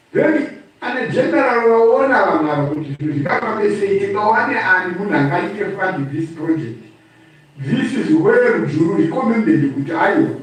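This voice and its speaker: A man with a Zimbabwean accent giving an impromptu political address, speaking emphatically like a storyteller and repeating phrases for effect. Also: sits high. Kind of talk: impromptu political address, mixing two languages. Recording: very echoey room, distant microphone.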